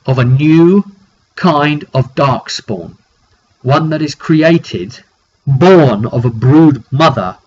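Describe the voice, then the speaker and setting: A man giving an informal recap of a tabletop roleplaying session as its game master, telling it emphatically with dramatic pauses. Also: mid-range voice. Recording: dull lo-fi sound with clear noise.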